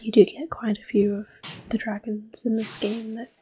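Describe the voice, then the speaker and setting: A woman giving soft-spoken ASMR gameplay commentary as host, often slipping into a breathy whisper, in a gentle, unhurried way. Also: on the high side, level tone. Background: soft video game music.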